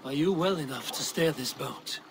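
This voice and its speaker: An actor playing a proud, skeptical Viking warrior in a video game, with a dry, wry delivery and old-fashioned phrasing. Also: male voice, a little high, moderate pace, creaky, background noise. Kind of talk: video game cutscene acting.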